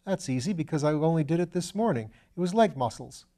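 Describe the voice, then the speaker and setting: A man reads out an eager young upper-class student in a storybook. He is easily flustered and runs himself down in old-fashioned slang. The voice rides high and stays mellow. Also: fast talker, lightly acted. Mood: recalling, eager. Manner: excitable, self-deprecating, old-fashioned slangy speech.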